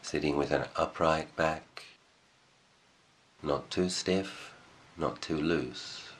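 Casting: male meditation guide, exceptionally deep register, unhurried short phrases and long pauses, slight background noise. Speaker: meditation teacher.

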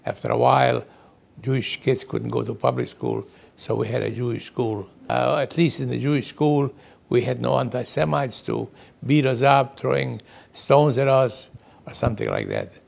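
A man in his eighties from Czechoslovakia tells of his boyhood to an interviewer. His voice is extremely gravelly, at a middling pitch. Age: 80s. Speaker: Holocaust survivor interviewee. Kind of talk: recounting childhood in an interview. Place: indoor studio.

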